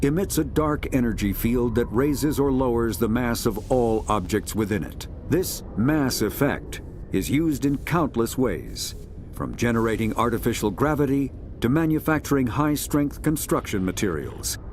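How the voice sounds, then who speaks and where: A man reading scripted encyclopedic narration for a sci-fi game in a measured, formal manner. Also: medium pitch, expressive, darkish tone, a little hiss or hum.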